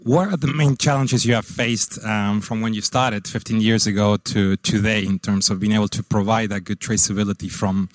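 Male audience questioner, lowish pitch, dry sound, unscripted delivery. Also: fairly even intonation, normal speed, hardly any pauses, dry recording. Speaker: audience member asking a question.

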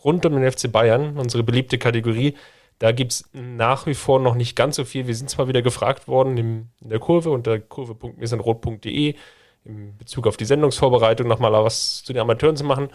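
A man hosts a football podcast with a stuffed-up, congested voice from a sinus infection. He sits at a mid pitch and keeps the chat relaxed and lightly joking as he steers the conversation. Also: a little fast. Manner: relaxed, jokey, leads the conversation. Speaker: podcast host.

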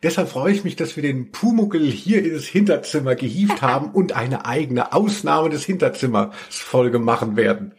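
A man's voice: medium pitch, animated, moderate pace, few pauses, breathy edge. A man co-hosting a casual comedic podcast in a playful, tongue-in-cheek way. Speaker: podcast co-host.

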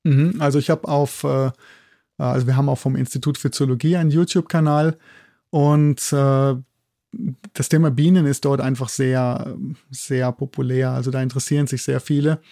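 Male interview guest, middle-aged expert. Mellow delivery in a dry close sound, keen explainer who often tags phrases with a check. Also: mid-range voice, flat delivery, normal speed, creaky, dry recording. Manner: enthusiastic, often ends phrases with a checking tag. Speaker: guest expert, zoology institute head and sound producer.

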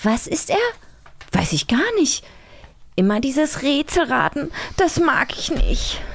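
A woman's voice: high voice, very animated, takes it slowly, gravelly, heavily acted. A woman voicing a naive, kind elephant in a radio play reading, innocent and slightly puzzled. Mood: innocent, friendly. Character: naive, kind, happy male talking cartoon elephant.

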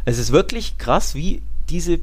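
A man's voice: fairly high pitch, expressive, a little slow. Emphatic man analysing football. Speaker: football podcast pundit. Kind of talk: casual sports analysis.

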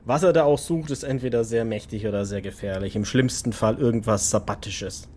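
A serious male vampire enforcer, played by a man in an audio play. His voice is low and grave, wary in manner, with a clear rumble behind it. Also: a little fast, darkish tone, natural voice. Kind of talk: audio drama role-play dialogue.

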